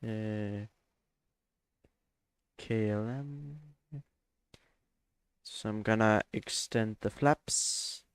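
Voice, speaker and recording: A man's voice: lowish voice, extremely slow, pauses often, smooth, dry recording. A man from Denmark, chatting hesitantly with many fillers while streaming a flight simulator. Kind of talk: live stream gameplay chat.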